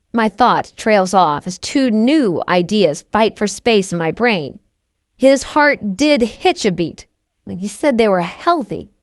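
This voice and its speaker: A woman's voice: medium pitch, no echo, clean studio sound. A woman reading first-person scripted novel narration as an audiobook narrator.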